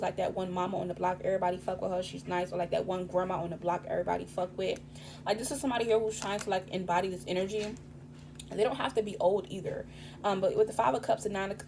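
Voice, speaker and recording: A woman giving a casual tarot reading, rambling and profane with streetwise slang, her voice raspy this morning. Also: medium pitch, background noise. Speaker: tarot reader.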